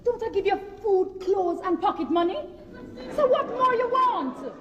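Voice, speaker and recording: A woman's voice: very high voice, lively intonation, measured pace, lo-fi sound, some chatter behind. An actress playing a bold, bossy, vain Jamaican woman in a broad stage comedy, projecting brash Patois. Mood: scolding. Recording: echoey hall from afar, noisy audience.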